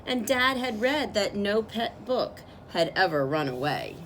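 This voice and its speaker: A woman reading a picture book aloud as a storyteller, with expressive, drawn-out phrasing for young listeners. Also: mid-range voice, slight background noise, low-quality recording. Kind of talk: expressive children's read-aloud narration.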